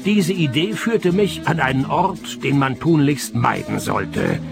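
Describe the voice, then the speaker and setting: An actor playing a dry, stubborn private detective in a radio play, with a Swiss accent and a deadpan, sardonic delivery. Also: male voice, on the high side, measured pace, vocal fry, over music, heavily acted. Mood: dry, matter-of-fact. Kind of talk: radio play acting and first-person narration.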